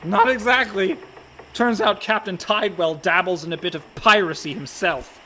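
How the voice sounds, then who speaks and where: An actor playing an anxious, protesting merchant sailor in a radio play, earnest and strained. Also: male voice, high-pitched, normal speed, pushed and bright. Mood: worried. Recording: faint background noise.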